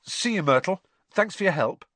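A man's voice: sits high, expressive, moderate pace, fairly bright tone, dry recording, pitched above the performer's own voice. A man voicing an earnest, puzzled teenage schoolboy in a storybook, with a hesitant, questioning delivery. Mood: distracted.

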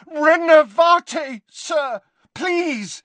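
A man's voice: very high voice, very animated, slow, fairly bright tone, slightly rough, no room sound, pitched above the performer's own voice, full character voice. A man voicing a desperate, frightened teenage boy wizard in an audiobook, urgent and breathless, with a cracking voice. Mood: panicked. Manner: urgent, coaxing repetition.

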